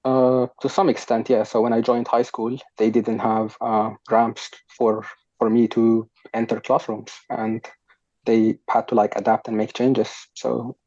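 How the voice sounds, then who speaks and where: A man's voice: mid-range voice, mellow, no room sound. A young man from Sudan, a podcast guest, answering thoughtfully and a little hesitantly with many fillers, speaking over a headset on a video call from home. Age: young adult. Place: home room, sitting on a sofa. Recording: dull lo-fi video call audio.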